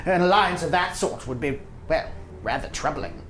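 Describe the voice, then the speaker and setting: A woman's voice: low voice, animated, moderate pace, a little hiss or hum. A woman voicing an elderly male gnome courtier, sly and deferential, speaking in formal, fawning courtly phrases for a tabletop fantasy game.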